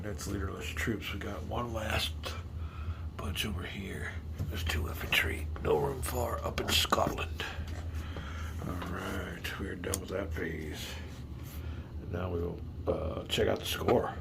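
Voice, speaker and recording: Deep gravelly man, chatty board gamer. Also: noisy background. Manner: rambling, chatty, mutters rules half under his breath. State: a little hoarse. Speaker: board game video host. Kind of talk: casual solo board game playthrough. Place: home room with game tables.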